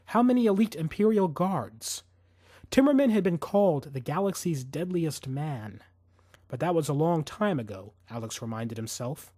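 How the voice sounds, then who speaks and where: A man narrates dramatic fiction in a suspenseful, expressive way. His voice sits a little high and moves through a lively range of pitch. The sound is dry and close, free of room echo. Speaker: audiobook narrator.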